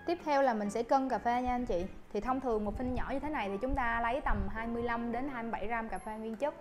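A woman's voice: a little high, fast talker, over music. Polite young woman teaching coffee making. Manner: polite, addresses viewers with soft fillers. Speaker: barista instructor. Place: coffee shop counter indoors. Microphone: lapel mic.

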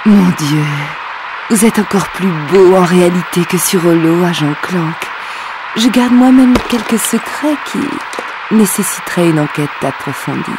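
A woman's voice: fairly low pitch, unhurried, lightly acted, rough recording. A woman voicing a vain, flirtatious female robot pop star in a French game dub, with a sultry, coquettish manner and music underneath. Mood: flirty. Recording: game audio with music bed.

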